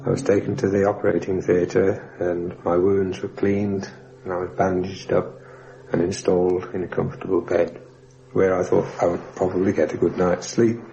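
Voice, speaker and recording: A man, a former airman, giving a measured, formally worded recollection of the war in a documentary interview. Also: sits low, little pitch movement, creaky, mellow, lo-fi sound. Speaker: former RAF airman recalling his war experience. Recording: faintly hissy 1960s radio recording.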